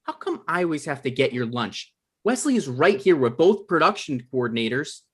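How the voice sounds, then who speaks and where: An actor at a comedy table read playing a petty, self-important production coordinator, dry and argumentative. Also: male voice, on the high side, big pitch swings, moderate pace, a bit bright, husky, exaggerated voice. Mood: peeved.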